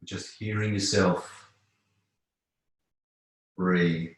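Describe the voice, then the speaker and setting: Low-pitched male yoga instructor, very echoey. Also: fairly even intonation, drawn-out words. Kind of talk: guided yoga relaxation instruction. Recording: very echoey room.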